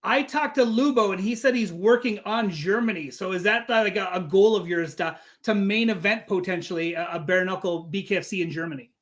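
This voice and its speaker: A man's voice: high voice, a little fast, few pauses, a bit bright. A man speaking as an interviewer, asking questions in a casual, conversational style.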